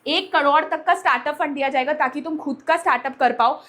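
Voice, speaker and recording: A woman with a notably high voice talks directly to young students as their online teacher. She addresses them familiarly, like an elder sister, mixes English phrases into her speech and often adds short tags to check they follow. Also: fast, fairly bright tone. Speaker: online teacher and channel host. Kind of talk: direct-to-audience vlog talk with a sponsored pitch.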